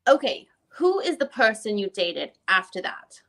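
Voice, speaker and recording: A woman reading the part of a lawyer who questions a witness in a formal deposition, with halting, probing questions. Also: mid-range voice, sing-song, fairly bright tone. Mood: questioning. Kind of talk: dramatic reading of a deposition transcript.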